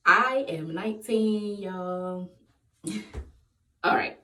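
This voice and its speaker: A woman of twenty-eight chatting casually in a question-and-answer vlog, playful and quick to laugh. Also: lowish voice, bright, forceful tone. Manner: playful, laughs often, breaks into sung bits. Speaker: vlogger. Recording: echoey room, voice far from the mic.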